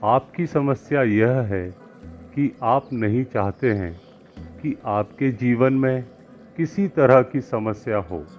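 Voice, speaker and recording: Man playing a slow, measured wise holy man. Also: mid-range voice, darkish tone, lightly acted. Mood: counselling, instructive. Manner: measured, didactic, deliberate pauses. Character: calm, wise village holy man giving counsel. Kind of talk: acted moral-story dialogue. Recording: muffled lo-fi with music behind.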